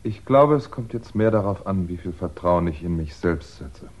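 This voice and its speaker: An actor playing an uneasy, wry crime writer under suspicion in a 1960s radio drama, speaking in a reflective, hesitant way. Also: male voice, sits low, animated, moderate pace, a little mellow. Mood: wry, resigned. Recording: dull, band-limited 1960s radio recording.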